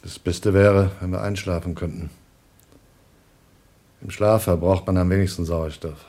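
Stern, low-voiced astronaut. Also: male voice, fairly even intonation, unhurried, dark tone. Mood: subdued, tense. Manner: stern, reproachful, clipped. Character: principled, anxious male astronaut, suspicious rule-follower. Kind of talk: radio drama acting.

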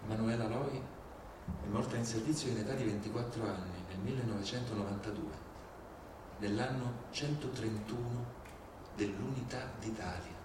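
A man performing a theatrical civic monologue, deliberate and rhetorical, with indignation and dramatic pauses. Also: fairly low pitch. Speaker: stage performer. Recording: very echoey, distant, clearly noisy.

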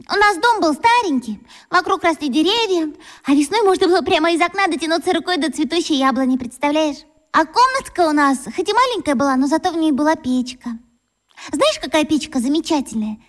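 Актриса детского радиоспектакля играет болтливую, восторженную и добрую школьницу, говорит по-детски искренне и выразительно. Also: female voice, high-pitched, brisk. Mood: eager, dreamy. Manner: expressive, childlike, earnest. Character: chatty, eager, warm-hearted young schoolgirl. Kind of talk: children's radio play acting.

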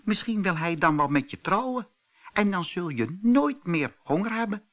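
Man putting on a high-pitched motherly field mouse. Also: very animated, normal speed, a bit bright. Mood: kindly coaxing. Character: motherly, bossy female field mouse, fairy tale.

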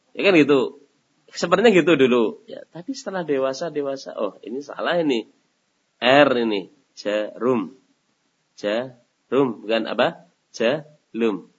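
A man with a slightly husky voice teaches a religious study session. He often pauses mid-sentence with a question for his listeners to fill in. The recording sounds dull and lo-fi. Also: mid-range voice. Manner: asks rhetorical questions and lets the audience finish them. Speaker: Islamic teacher.

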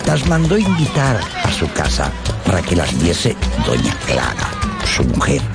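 A man's voice: low-pitched, wildly swooping pitch, a little slow, gravelly. A man narrating a radio novel in a theatrical, literary storytelling style. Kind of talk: dramatic scripted literary narration. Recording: music under the voice. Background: loud dramatic background music.

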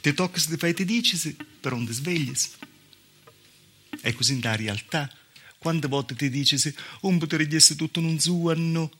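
A man's voice: fairly high pitch, moderate pace, pushed and bright. A man performing a theatrical dialect monologue as a southern Italian village woman, with a Calabrian accent and an intimate, repetitive storytelling manner. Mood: earnest, insistent. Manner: intimate storytelling with repetitions and spoken sound effects. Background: live music accompaniment.

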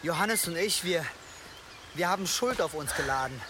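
An actor in a German radio drama playing a gentle, devout monk with a halting, pious manner. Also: male voice, a little high, animated, noisy background, lightly acted. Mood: gentle, sorrowful. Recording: clear outdoor ambience behind.

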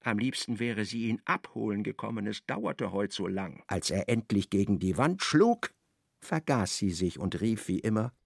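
Guy narrating a radio drama, very animated, kinda gravelly. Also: on the low side, normal speed, dry recording, clean studio sound. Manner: expressive, dramatic storytelling. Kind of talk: scripted radio play narration.

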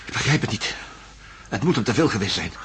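An actor playing an insistent, placating psychiatrist in a radio drama, reasoning and coaxing in speech. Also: male voice, on the low side, lively intonation, quick pace, fairly bright tone, slight background noise. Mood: urgent, shaken. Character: male psychiatrist, placating and insistent, defending his methods.

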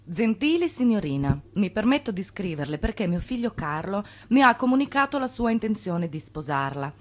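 An actress playing a stern, grave mother in a radio drama, reading in a measured, formal manner. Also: mid-range voice, very animated. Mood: grave, disapproving. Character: stern, grave mother writing a warning letter.

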